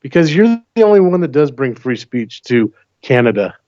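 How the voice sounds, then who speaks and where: A man's voice: mid-range voice, sing-song, normal speed, a little mellow, no room sound. A man from the United States, a call-in guest chatting casually and pressing his opinions with rhetorical questions over lo-fi call audio. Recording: dull lo-fi call audio, dry.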